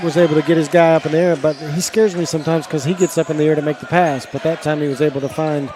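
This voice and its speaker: A man's voice: a little high, fairly even intonation, quick pace, a crowd in the background. A man giving conversational, analytical color commentary on a basketball game.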